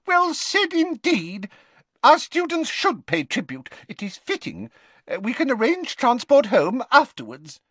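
A man voicing a little fussy, proper male professor with a squeaky voice, speaking formally in an audiobook. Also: very animated, sharp tone, no room sound, full character voice. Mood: approving. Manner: formal, measured.

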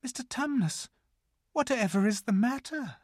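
A woman's voice: a little high, lively intonation, moderate pace, a little mellow, dry recording, studio quality, voice pushed up. A woman voicing an earnest, kind-hearted, anxious little girl in a children's storybook, polite and emphatic. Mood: alarmed.

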